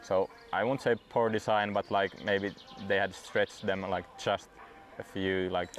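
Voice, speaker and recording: A young man whose first language is Swedish, chatting in a relaxed interview and padding his sentences with "like". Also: low voice, faint music behind. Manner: relaxed, fills every pause with "like". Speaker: guest disc golf player. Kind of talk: casual interview chat.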